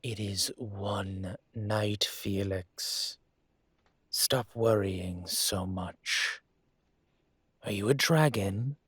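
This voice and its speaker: A man acts out a dragon mafia boss in human guise for an intimate audio roleplay. His voice is lowish and breathy, and he speaks very slowly and deliberately, with a commanding, teasing charm. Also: fairly bright tone, dry recording, heavily acted. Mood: firm, exasperated.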